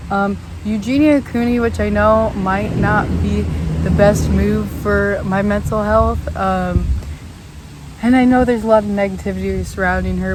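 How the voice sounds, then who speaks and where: A young woman talks casually to her camera, rambling along with plenty of ums and little apologies. Her throat still sounds slightly rough from a recent cold, and her pitch sits in an ordinary middle range. She is recording outside, with clear outdoor ambience and passing vehicles behind her. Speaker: vlogger. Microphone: camera set on a chair. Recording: outdoor noise, audible vehicles.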